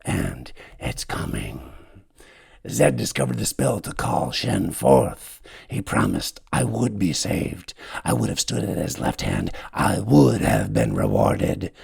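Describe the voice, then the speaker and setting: A man doing a croaking, dying old servant. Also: sits low, a little mellow, voice pushed down, exaggerated voice. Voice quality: croaking whisper. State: dying, gasping. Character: dying old male servant, gasping, bitter.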